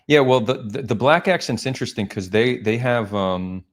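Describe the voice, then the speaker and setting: A man's voice: medium pitch, normal speed. A man hosting a casual livestream chat, talking loosely with lots of fillers and restarts. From United States. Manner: rambling, many fillers and restarts. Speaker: livestream host.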